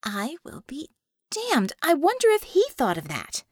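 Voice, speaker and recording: A woman's voice: high voice, huge pitch swings, unhurried, a little mellow, no room sound, clean studio sound, voice pushed up, full character voice. A woman voicing a brash, impatient female team leader in an audiobook, speaking emphatically with wry sarcasm. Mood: dawning excitement. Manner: emphatic, exclaiming, sardonic.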